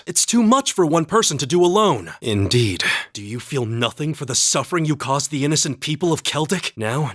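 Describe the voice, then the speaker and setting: A man voicing a calm, earnest young highland warrior in a video game, with measured, slightly formal phrasing. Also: no room sound, studio quality. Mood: earnest, composed, then grim. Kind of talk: English video game voice acting.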